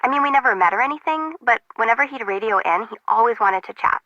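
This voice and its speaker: A woman's voice: a little high, animated, fast, sharp tone, dry recording. A young woman playing a chatty, quirky intern in a video game, breezy and wry, heard over a radio. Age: young adult. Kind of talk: casual video game voice acting. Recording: muffled radio-like sound, dry.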